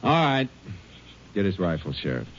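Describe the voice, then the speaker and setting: An actor playing a Texas Ranger in a 1950s radio drama, questioning people in a calm, terse, firm way. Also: male voice, sits low, normal speed, exaggerated voice. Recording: noisy lo-fi old radio broadcast.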